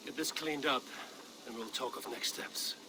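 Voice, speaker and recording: A man playing a stern, commanding Viking war leader in a game, measured and authoritative. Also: mid-range voice, background noise, natural voice. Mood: businesslike. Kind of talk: dramatic game acting.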